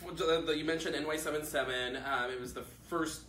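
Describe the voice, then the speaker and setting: A chatty male talk-show host with a fairly high voice, quick to laugh and loose with swearing. He sits a little back from the mic, and the room rings around him over a faint ambient hum. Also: fairly even intonation, a bit bright, echoey room, recorded from a distance. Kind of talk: casual interview chat.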